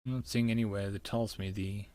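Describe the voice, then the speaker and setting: A man's voice: fairly low pitch, fairly even intonation, brisk, a little mellow. A man reading a visual novel aloud as he plays, with casual muttered asides. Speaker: narrator and game player.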